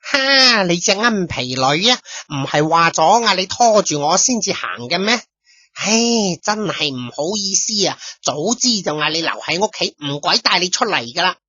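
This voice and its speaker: Woman doing a flustered young mom in a radio play, sharp bright voice, nagging and exasperated, dull lo-fi dry sound. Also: on the low side, expressive, dry recording. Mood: scolding. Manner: nagging, exasperated, quick apologies. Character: flustered scolding young mother. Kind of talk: Cantonese radio drama acting.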